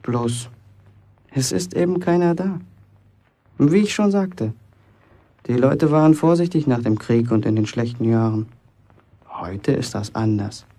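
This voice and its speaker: An actor playing a boastful, self-important teenage apprentice bricklayer from a village, chatting colloquially in a radio play monologue. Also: male voice, mid-range voice, lively intonation, unhurried, pauses often, dark tone. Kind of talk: German radio play acting, first-person monologue.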